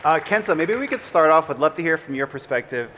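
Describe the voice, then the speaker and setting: A man's voice: a little high, lively intonation, quick pace, crowd noise, noisy background. A man moderating a conference panel, introducing the speakers with upbeat emphasis. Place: conference hall. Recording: long hall reverb, faint ambience.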